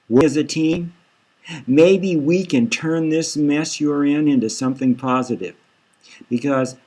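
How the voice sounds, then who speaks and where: Female crime fighter, voiced by a male reader, gentle on the surface, full of guile, leading and unhurried, dark satire audiobook. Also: fairly high pitch, pitched above the performer's own voice. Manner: coaxing, soothing, leading questions.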